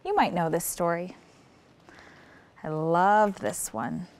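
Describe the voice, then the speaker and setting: A woman's voice: lowish voice, lively intonation, takes it slowly. A woman hosting story time, reading a picture book aloud expressively and putting simple questions to young listeners. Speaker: children's story time host.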